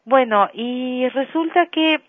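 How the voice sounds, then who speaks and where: Una mujer brasileña, cantante, habla por teléfono con una voz algo aguda y un ritmo muy pausado, alargando las pausas. El sonido es seco, estrecho y metálico, como una línea telefónica. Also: female voice, high voice, dry recording. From Brazil. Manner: slow, warm storytelling with drawn-out fillers, sings softly at times. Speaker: singer, interview guest. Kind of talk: phone interview. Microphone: telephone. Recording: tinny phone line.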